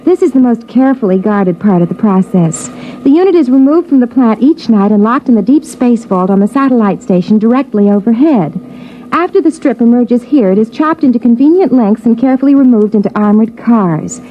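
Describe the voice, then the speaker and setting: A woman's voice: fairly high pitch, lively intonation, a little fast, close to an everyday voice. A woman playing a chirpy young tour guide with rehearsed, patient patter in 1950s radio acting style. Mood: brisk, rehearsed. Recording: muffled, noisy vintage radio recording.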